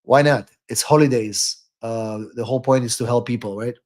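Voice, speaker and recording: A man's voice: medium pitch, fairly even intonation, normal speed, a little mellow. A man speaking off the cuff as an attorney hosting a live call-in stream, often repeating words and tagging sentences with "right?".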